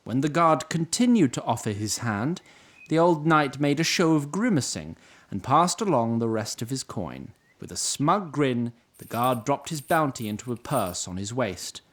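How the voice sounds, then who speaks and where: A man's voice: medium pitch, very animated, moderate pace, a bit bright. A man narrating a scripted fantasy audio drama in storybook style.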